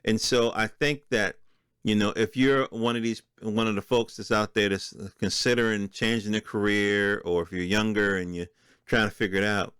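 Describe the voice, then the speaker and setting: A middle-aged American man with a low, resonant voice, recorded close and dry. He talks casually as a solo podcast host, reading through notes with offhand asides and turning to his listeners with rhetorical questions. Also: little pitch movement, moderate pace, fairly bright tone, clear, resonant tone, no echo. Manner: conversational, checks in with listeners using rhetorical questions. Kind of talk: informal solo commentary, reading from a list with asides.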